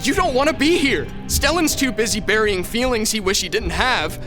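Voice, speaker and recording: Loud music runs under a volatile young lord of a crumbling estate, his voice high for a man and swinging widely. He is petulant and combative, every grievance landing with emphasis, in period audio drama style. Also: expressive, moderate pace. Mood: angry, defiant. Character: aggrieved, volatile youngest heir of a decaying estate.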